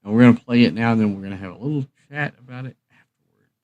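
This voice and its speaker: A male livestream host talks casually to his viewers between songs. His delivery wanders and hesitates, with a middling pitch and an informal, unscripted feel. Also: very fast, phone-quality sound.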